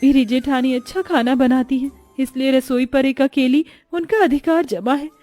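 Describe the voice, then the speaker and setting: A meek young village bride, played by a woman, with a very high, plaintive voice. She sounds polite and earnest in cartoon fable style, over music. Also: steady tone, moderate pace, dark tone, dry recording, close to an everyday voice. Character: meek, distressed poor young daughter-in-law. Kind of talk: Hindi cartoon moral story voice.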